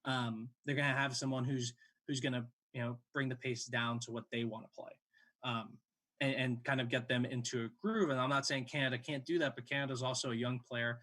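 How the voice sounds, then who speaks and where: A man's voice: medium pitch, level tone, a little fast, a bit bright. A man in his late twenties hosting a sports show, giving casual game analysis in a conversational way.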